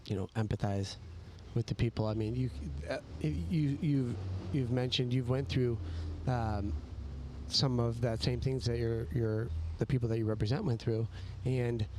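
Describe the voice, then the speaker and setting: A man hosting a casual interview, speaking in a halting, rambling way and often restarting his thoughts mid-sentence. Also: medium pitch, darkish tone, slight background noise. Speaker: podcast host.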